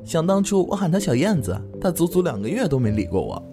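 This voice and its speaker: A man's voice: fairly high pitch, sing-song, quick pace, over music. An actor playing a cheerful, good-hearted young man who chats eagerly and laughs easily in an audio drama. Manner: bright, chatty, laughs easily.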